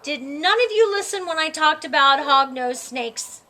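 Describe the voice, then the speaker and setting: A woman's voice: high voice, lively intonation, normal speed, sharp tone. A woman voicing an exasperated male grade-school teacher in a storybook, instructive and emphatic.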